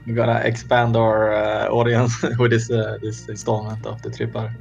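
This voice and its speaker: Young Swedish-accented man, gravelly, flat, laid-back podcast co-host, lo-fi sound. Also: lowish voice, normal speed, faint music behind. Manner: laughs often, hesitant with fillers. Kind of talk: casual chat.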